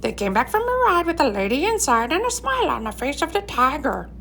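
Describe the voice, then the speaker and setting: A woman voicing a squawky, shrill storybook parrot that recites in a sing-song way. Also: big pitch swings, fairly quick, creaky, a bit bright, slight background noise, exaggerated voice. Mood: shrill, reciting.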